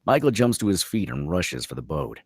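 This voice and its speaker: Very deep, gravelly male narrator. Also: big pitch swings, moderate pace, no echo, studio quality. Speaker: narrator reading stage directions. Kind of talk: scripted narration at a table read.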